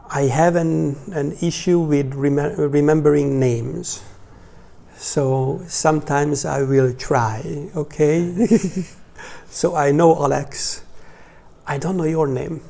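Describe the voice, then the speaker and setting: Hungarian guy in his 40s chatting to a little class, slow and halting, soft mellow tone. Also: fairly high pitch, fairly even intonation, creaky. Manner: hesitant, halting delivery with pauses. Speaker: religious teacher. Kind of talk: informal talk to a small class.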